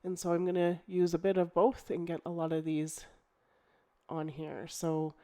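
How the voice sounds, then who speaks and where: A middle-aged woman with a croaky voice from a cold, chatting casually as she explains a scrapbooking project, with ums and restarts. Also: on the low side, normal speed. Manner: relaxed, chatty, thinking aloud with ums and restarts. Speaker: scrapbooking crafter. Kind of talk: casual crafting tutorial voice-over.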